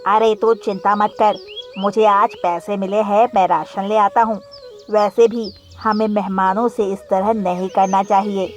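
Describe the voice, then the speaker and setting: A man's voice: sits high, little pitch movement, unhurried. A man playing a kind, humble, hardworking pigeon in a cartoon story, speaking politely and earnestly. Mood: kind, welcoming.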